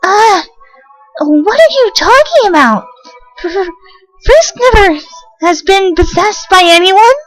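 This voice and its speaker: A very high, squeaky young monster a woman acting it out stammers and gushes with emotion. Music plays behind this cartoonish fan dub. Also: expressive, takes it slowly, long pauses, full character voice, poor audio. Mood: confused. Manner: stammering, gushing. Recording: music under the voice.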